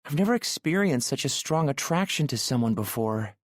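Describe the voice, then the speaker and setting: A man's voice: mid-range voice, lively intonation, brisk, dry recording, clean studio sound, voice pushed up, lightly acted. A man voicing a shy, playful young woman who teases lightly but sounds a little nervous.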